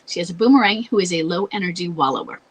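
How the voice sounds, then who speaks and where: A woman's voice: lowish voice, quick pace, breathy edge. Congested, scratchy-voiced woman giving advice. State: congested, losing her voice. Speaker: advice show host. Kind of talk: advice talk with read-out letters.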